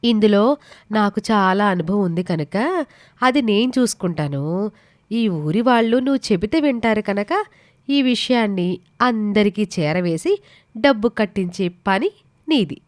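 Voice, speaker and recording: A woman's voice: mid-range voice, expressive, moderate pace, pauses often, no room sound, close to an everyday voice. A woman playing a scheming, sweetly persuasive middle-aged village mother in a moral story. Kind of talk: dramatised Telugu moral story.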